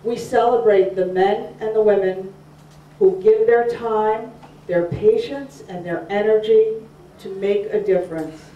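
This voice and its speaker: An older woman delivering a formal, scripted speech in a measured way. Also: mid-range voice. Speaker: program speaker. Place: community room, at a podium. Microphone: podium mic, far camera. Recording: dull, echoey room from far off.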